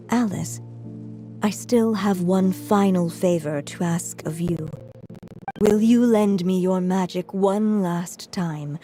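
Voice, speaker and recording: An older lady writer from a game, low mellow voice, really slow and formal, music faintly behind. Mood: grateful, pleading. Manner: measured, formal, earnest. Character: earnest, resolute aging woman writer and alchemist, Anya. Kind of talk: dramatic game voice acting.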